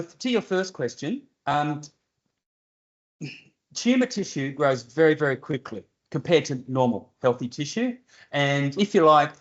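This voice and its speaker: An older Australian man takes questions in a university seminar room, talking straight and direct with a dry edge of humour. His voice sits at an ordinary pitch, and the recording sounds dull and a little muffled. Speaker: guest lecturer answering audience questions. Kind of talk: seminar Q&A explanation.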